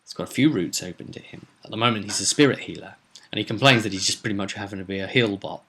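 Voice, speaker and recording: A man's voice: on the low side, quick pace, a bit bright, slightly rough. A man giving an informal spoken recap as game master of a tabletop roleplay, explaining events with emphasis like a storyteller.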